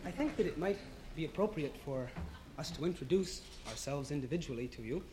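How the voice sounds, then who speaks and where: A man's voice: on the high side, expressive, brisk, noisy background. A man from Washington state introducing his group on a live stage with dry, formal wit. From Washington state, USA. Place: live stage before an audience.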